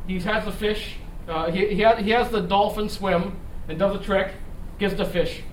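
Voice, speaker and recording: A middle-aged man giving a conversational lecture, halting and restarting phrases as he explains with rhetorical questions. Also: sits high. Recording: echoey room, distant mic, noisy background.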